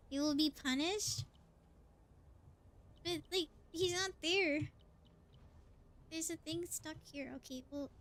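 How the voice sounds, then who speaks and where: Woman, very high voice, playful game streamer, casual exclamatory commentary, some background noise. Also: fairly bright tone. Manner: playful, exclamatory, thinking aloud. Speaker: livestreamer playing a game. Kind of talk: casual live gameplay commentary.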